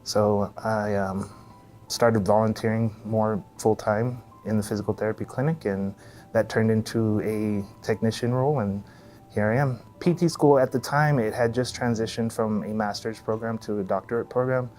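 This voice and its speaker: Even, low-pitched American man. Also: normal speed, faint music behind. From El Paso, Texas, USA. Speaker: physical therapist. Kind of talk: casual interview answers.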